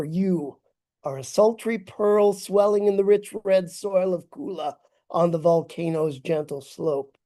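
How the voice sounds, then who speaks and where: A man reading his own poem aloud on a video call, in a slow, deliberate and declamatory way. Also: on the high side, warm tone. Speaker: poet reading his work. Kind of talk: dramatic poetry reading.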